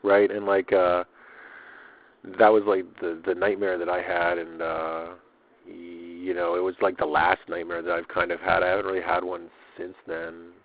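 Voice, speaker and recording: A man's voice: low-pitched, flat delivery, moderate pace, narrow, tinny audio. A man keeping a casual audio diary, rambling in a loose, slangy way with swearing and repetition. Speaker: personal audio diarist.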